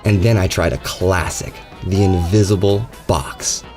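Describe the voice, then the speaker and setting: A man narrating a first-person horror audio drama, his voice climbing higher and tighter as the dread builds. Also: steady tone, unhurried, darkish tone, soft background music.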